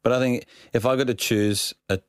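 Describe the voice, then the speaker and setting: A man's voice: mid-range voice, fairly even intonation, a little fast, smooth, dry recording. A man co-hosting a sports podcast, giving short agreeing replies and teasing his guest. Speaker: podcast co-host. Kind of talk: casual sports chat.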